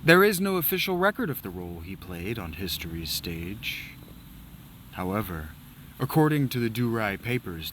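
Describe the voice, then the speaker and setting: A man voicing a solemn male historian who narrates old wars in a slow, theatrical storytelling manner that drops to a hush. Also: fairly low pitch, slight background noise. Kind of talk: dramatic reading of game dialogue.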